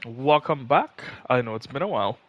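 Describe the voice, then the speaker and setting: A man's voice: medium pitch, animated, fairly quick, dull audio. A man hosting a gaming livestream, chatting casually and thinking aloud in a conversational way. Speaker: live streamer, channel host.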